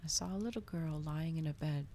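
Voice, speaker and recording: Low, creaky woman reading softly, ASMR. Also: a little fast, a little hiss or hum. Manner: soft, hushed, breathy, often slipping into whisper. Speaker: streamer reading a novel aloud.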